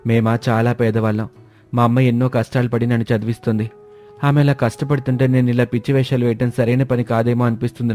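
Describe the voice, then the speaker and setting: A man's voice: mid-range voice, mellow, natural voice. A man voicing a conscientious, poor young student seeking work in a cartoon story, serious and explanatory.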